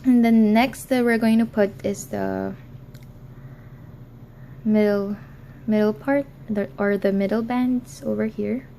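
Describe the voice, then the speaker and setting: Hesitant young woman with a gravelly voice. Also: medium pitch, fairly even intonation, takes it slowly, long pauses, warm tone, a little hiss or hum. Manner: hesitant, many ums, apologetic. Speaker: crafting tutorial host. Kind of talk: casual how-to tutorial. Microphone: recorded in an office.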